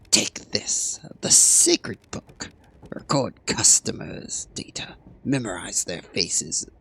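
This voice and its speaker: A hoarse man voicing a sardonic veteran male bank teller who mentors a new recruit with theatrical, drawn-out mockery. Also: low-pitched, bright, forceful tone, full character voice. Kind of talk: video game character voice.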